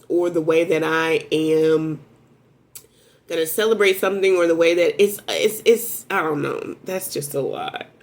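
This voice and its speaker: A woman giving a casual, rambling tarot reading to viewers, talking in a strong voice that jumps into sudden high exclamations. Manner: self-described strong voice. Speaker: tarot reader.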